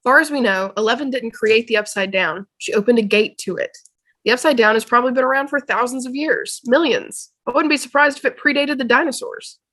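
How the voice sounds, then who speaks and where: A woman plays a know-it-all teenage boy in a drama read-through. The voice is fairly high and the delivery is matter-of-fact, like someone explaining the obvious. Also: a little fast, a bit bright. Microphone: video call.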